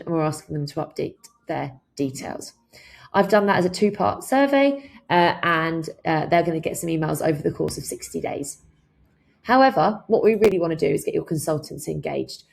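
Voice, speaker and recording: Low-pitched, gravelly woman presenting a tutorial. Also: a little mellow. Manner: instructional, step-by-step explaining. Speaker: software trainer, presenter. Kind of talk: scripted-sounding software tutorial narration with informal asides.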